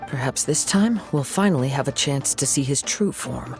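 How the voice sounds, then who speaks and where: A man plays a young wandering swordsman in a fantasy game, soft and light with a creaky edge. He is composed and principled, wording things formally and poetically, over faint music. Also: mid-range voice, animated, moderate pace, close to an everyday voice. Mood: grave, composed. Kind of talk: video game voice acting.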